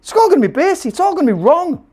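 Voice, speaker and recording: A man's voice: very high voice, big pitch swings, racing, darkish tone. A man presenting a casual product review to camera, emphatic and salesman-like, repeating phrases to drive his point home. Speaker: presenter and seller of PA gear.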